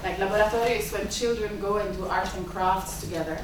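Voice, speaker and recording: A woman's voice: mid-range voice, fairly even intonation, brisk, noisy background. Hesitant Italian-native woman in echoey room. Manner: hesitant, many filler sounds. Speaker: symposium host and lab director. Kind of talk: opening remarks at an academic event. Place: university seminar room. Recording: echoey lecture room, mic far off.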